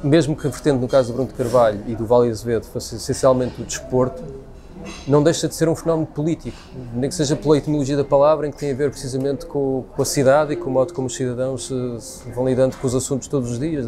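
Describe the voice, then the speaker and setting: A man's voice: medium pitch, mellow. A man in his forties with a European Portuguese accent, speaking as an interview guest in a busy café and thinking aloud with frequent restarts. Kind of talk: casual conversational interview. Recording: café crowd noise behind. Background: café crowd chatter.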